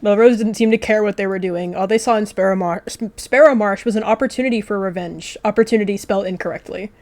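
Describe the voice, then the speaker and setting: A woman's voice: mid-range voice, fast talker, a little mellow. A woman narrating a video game roleplay in story style, with casual asides to viewers. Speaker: gaming video creator.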